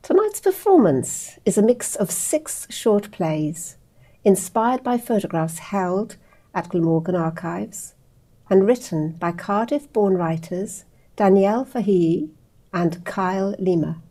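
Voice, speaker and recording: A middle-aged woman reads a formal introduction in a calm, measured way. Her voice is mellow and a little husky, picked up close on a lapel mic in a small indoor room. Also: medium pitch. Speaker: archive outreach presenter. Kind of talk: scripted introduction.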